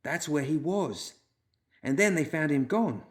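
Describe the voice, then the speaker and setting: A man voicing a sharp, well-read woman who lays out her facts slowly and knowingly. The voice sits above the narrator's own, calm and deliberate in a storybook reading. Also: on the high side, voice pushed up.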